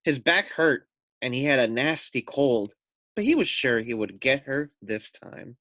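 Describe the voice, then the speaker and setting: A man's voice: medium pitch, animated, measured pace, bright, forceful tone, no room sound. A man reading a children's story aloud like a teacher, expressively, with asides to his listeners. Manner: addresses listeners with questions and asides.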